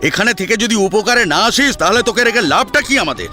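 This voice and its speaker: Man acting an angry, bullying elder brother, animated pitch. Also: brisk, soft background music, heavily acted. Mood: angry, scolding. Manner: scolding, accusatory, curt. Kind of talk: Bengali audio story drama.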